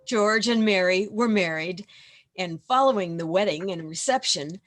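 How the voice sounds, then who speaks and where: A woman's voice: mid-range voice, normal speed. A woman reading scripted narration for a radio play, recorded over a video call. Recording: music under voice, video call. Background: instrumental music.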